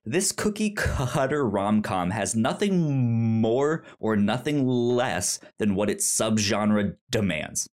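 A podcast host chatting casually about films in a halting, stammering way, often repeating words. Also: mid-range voice. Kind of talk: casual film review chat.

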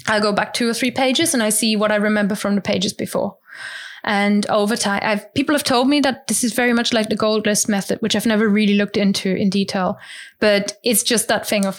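A woman from Germany, a guest in an interview, explaining her study habits in a chatty way with many restarts, sometimes acting out quotes in a higher voice. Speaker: interview guest, language learner. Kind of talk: casual conversational explanation.

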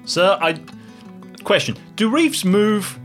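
A man voicing a polite, bewildered young male newcomer in a tabletop game, halting, earnest and questioning. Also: sits high, expressive, faint music behind, voice pushed up. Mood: bewildered, concerned.

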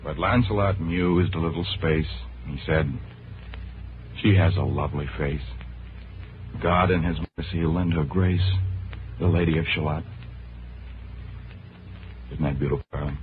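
An actor playing a suave, romantic, wealthy gentleman wooing a woman in 1940s radio drama style. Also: rumbling low, steady tone, a little slow, long pauses, a little hiss or hum. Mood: tender, romantic. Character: Wynn, romantic wealthy Philadelphia gentleman courting his secretary. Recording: tinny vintage radio broadcast, music behind.

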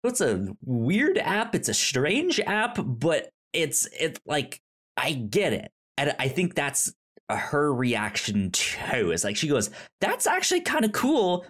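Male podcast co-host, slow talker, stammering and repetitive delivery, casual conversational style. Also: a little high, huge pitch swings, fairly bright tone. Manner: halting, stammers and repeats syllables. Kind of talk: casual film discussion chat.

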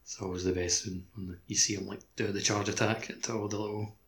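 A man's voice: lowish voice, level tone, fast talker, a bit bright. A man co-hosting a podcast, chatting casually about a video game in loose, informal phrasing. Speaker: podcast co-host.

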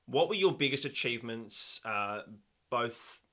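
A man's voice: mid-range voice, lively intonation, unhurried, pushed and bright. A young adult Australian man hosting a casual podcast interview and chatting informally. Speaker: podcast host.

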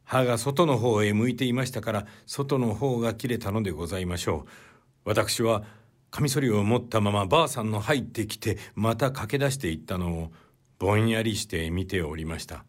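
A male narrator plays a humble, troubled man confessing in a period story. His voice is on the low side, and he recounts things in polite, measured phrases. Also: lightly acted. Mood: grave, humble.

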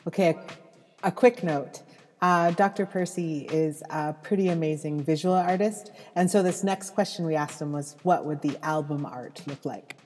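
Lady host w a low voice explaining stuff, reverby, faint music. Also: moderate pace, a little mellow.

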